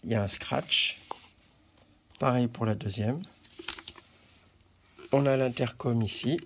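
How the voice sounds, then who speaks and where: A man presenting a product in a casual hands-on demonstration, talking haltingly with pauses as he handles things. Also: mid-range voice, slight background noise. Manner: halting, pausing while handling items. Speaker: video presenter reviewing a product.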